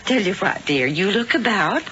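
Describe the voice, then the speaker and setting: A woman's voice: mid-range voice, expressive, moderate pace, natural voice. An actress playing a genteel, prim, scheming wife who poses as a sweet motherly landlady in a 1970s radio drama. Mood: sweetly welcoming. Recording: dull, noisy radio broadcast tape.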